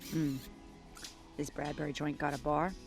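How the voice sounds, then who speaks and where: A woman's voice: low-pitched, little pitch movement, moderate pace, creaky, over music. A woman playing a world-weary, sarcastic police detective in an audio drama, blunt and informal. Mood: dry, weary.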